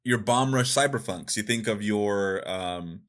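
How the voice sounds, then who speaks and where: A man chatting casually as a podcast co-host, with an animated, informal manner. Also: on the low side, a little slow. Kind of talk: casual podcast chat about video games.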